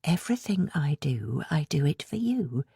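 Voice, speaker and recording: A woman voicing an anxious, gently nagging mother in an audiobook, placating and softly insistent. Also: sits low, very animated, normal speed, vocal fry, breathy edge, no echo, clean studio sound, heavily acted. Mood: hurt, quiet. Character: anxious, coaxing middle-aged mother, gently nagging her daughter.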